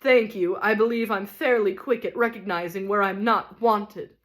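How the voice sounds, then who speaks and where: A woman's voice: a little high, unhurried, voice pushed up, close to an everyday voice. A woman voicing a pompous, self-pitying male ghost who acts like a stage tragedian, declaiming bitterly. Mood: indignant.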